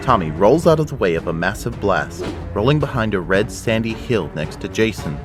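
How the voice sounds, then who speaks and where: Man narrator, low voice, dramatic scripted read, loud music behind him. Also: big pitch swings, normal speed.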